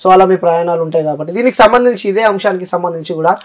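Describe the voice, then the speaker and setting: A man's voice: sits high, rapid-fire. A man with a Telangana accent hosting an interview, explaining emphatically and repetitively with English words mixed in. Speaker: interview host.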